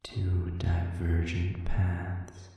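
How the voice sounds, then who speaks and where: A man playing a sadistic, coolly amused demon in an audio roleplay, speaking in a measured, silky, condescending way with soft edges that slip toward a whisper. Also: low voice, completely flat delivery, soft background music. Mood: musing, sardonic. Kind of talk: scripted audio roleplay monologue. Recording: very echoey reverberant room, distant.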